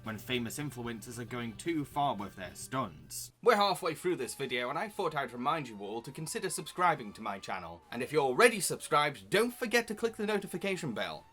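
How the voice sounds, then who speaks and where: A man delivers scripted review narration in a very bright, forward voice at an ordinary pitch. His delivery is enthusiastic and recommending, the manner of a video host. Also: sing-song, fairly quick, few pauses, soft background music.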